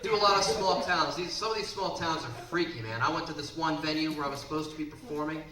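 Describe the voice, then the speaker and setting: A man in his twenties from the Baltimore area, doing a brash, punchy stand-up set on a comedy club stage and sometimes shouting. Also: on the high side, fast talker. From Baltimore area, Maryland. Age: 20s. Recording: roomy, distant, noisy club recording.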